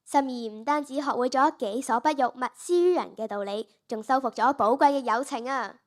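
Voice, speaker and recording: A woman's voice: high voice, fairly quick, close to an everyday voice. A primary school girl with a Hong Kong Cantonese accent narrating a story in dramatic style. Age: child, primary school student.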